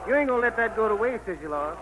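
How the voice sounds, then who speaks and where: An actor playing a loyal, eager angel who serves the Lord, respectful and folksy, with a Southern US accent in an old radio play. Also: male voice, sits high, expressive, very fast, poor audio. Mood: admiring, obedient. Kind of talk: 1940s radio play acting.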